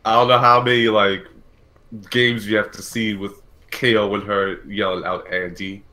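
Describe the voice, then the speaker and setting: A man co-hosting a podcast, giving opinions in casual, halting speech peppered with "like". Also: on the low side, level tone, bright, forceful tone, rough recording. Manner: halting, heavy use of "like". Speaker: podcast co-host. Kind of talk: casual chat, opinionated commentary.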